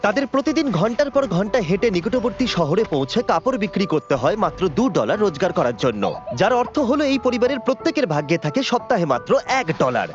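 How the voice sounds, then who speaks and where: A man's voice: high-pitched, big pitch swings, fast, hardly any pauses. A man reading a scripted documentary voiceover as the narrator of a dubbed video. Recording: muffled audio under music. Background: music.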